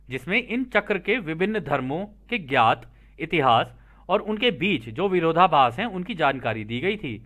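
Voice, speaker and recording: A man's voice: on the high side, sharp tone. A man reading out and explaining a text in an informal, conversational way, often repeating phrases for emphasis. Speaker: host reading and explaining a translated book. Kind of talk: informal spoken commentary on read-out passages.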